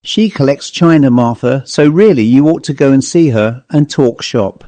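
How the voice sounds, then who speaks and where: A man's voice: medium pitch, animated, normal speed, dark tone, dry recording, close to an everyday voice. A man voicing a gossipy, matter-of-fact country woman in a storybook reading, conversational and genteel. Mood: chatty.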